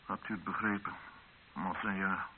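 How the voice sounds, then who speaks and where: An actor playing a bitter, menacing rebel district commander in an old radio drama, with a stern, mocking, declamatory delivery. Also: male voice, low voice, fairly even intonation, normal speed, close to an everyday voice. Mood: curt, commanding. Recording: hissy, narrow-band old radio drama recording.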